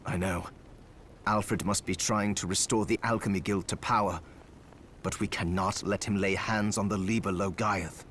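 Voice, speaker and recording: An actor playing an earnest, worried alchemist apprentice in a video game, speaking with urgency. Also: male voice, lowish voice, fairly even intonation, moderate pace, a bit bright, slight background noise, lightly acted. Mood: grave.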